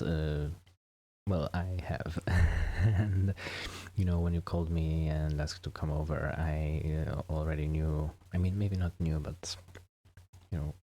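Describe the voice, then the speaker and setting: A man doing a soft-spoken, casual ASMR roleplay, chatting gently and hesitantly to the listener, at times dropping into a whisper. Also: very deep voice, slight background noise. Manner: hesitant, rambling, gentle, often drifting into whispers. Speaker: ASMR performer playing a friendly host.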